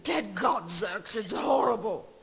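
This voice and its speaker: A woman's voice: mid-range voice, lively intonation, takes it slowly, noisy background. A woman voicing a cold, disdainful female vampire in a video game cutscene. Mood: disgusted.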